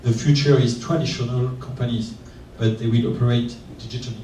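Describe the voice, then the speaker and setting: A man on a conference panel talks in a flat, mellow voice, stumbling and repeating words as he goes. He is picked up from a distance in a reverberant room with faint ambient hiss. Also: mid-range voice, a little fast. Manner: hesitant, repeats words, monotone. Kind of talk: panel discussion. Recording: echoey room, voice from far off.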